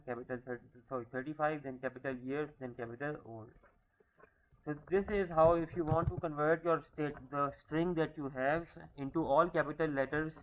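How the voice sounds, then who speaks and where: A man's voice: fairly high pitch, dull audio. A man in his thirties teaching a programming class, explaining step by step and often checking in with "okay?". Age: 30s. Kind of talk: screen-recorded coding lecture.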